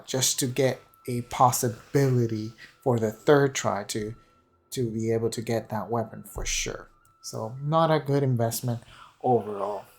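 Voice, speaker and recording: A man's voice: medium pitch, darkish tone. A man giving casual, unscripted game commentary in a rambling, hesitant way, often repeating and correcting himself. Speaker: gaming channel host. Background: background music.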